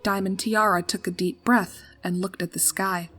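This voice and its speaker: A woman's voice: mid-range voice, fairly even intonation, moderate pace, pushed and bright, slightly rough. A woman reading a scripted story as narrator in a dramatic storytelling style. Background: background music.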